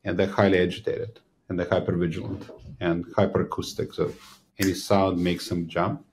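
A man in his sixties with a fairly low voice. He hosts an interview and explains points in an animated way. He sits at a desk podcast mic in a small studio. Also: normal speed, a little hiss or hum. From Ukraine. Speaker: physician host. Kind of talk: interview conversation. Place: small studio room with a banner.

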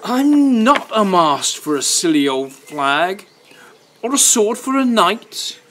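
A man's voice: high-pitched, sing-song, slow, fairly bright tone, slight background noise, voice pushed up, full character voice. A man voicing an indignant, pleading little stick creature in a children's storybook, chanting plaintive protests.

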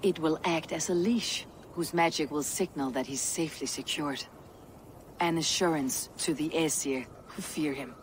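A man's voice: fairly high pitch, moderate pace, slight background noise, natural voice. An actor playing a guarded, commanding king of the gods in a video game, terse and resolute. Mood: cold, resolute. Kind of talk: video game cutscene acting.

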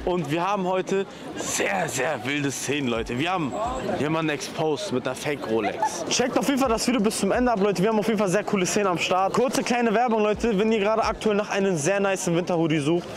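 A man's voice: high-pitched, fast. A young man doing casual, slangy street interviews and presenting outdoors. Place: outdoor city street at night. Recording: busy outdoor street crowd noise.

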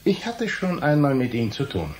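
An actor playing an indignant, wry middle-aged uncle and science writer in a German radio play, eloquent and blustering. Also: male voice, mid-range voice, wildly swooping pitch, moderate pace. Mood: wry, explaining. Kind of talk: German radio drama acting.

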